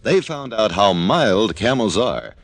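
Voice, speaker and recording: Lively American old-time radio announcer, male. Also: lowish voice, slow. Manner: polished, salesmanlike. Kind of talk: 1950s radio announcing, scripted ad read. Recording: old radio recording with music.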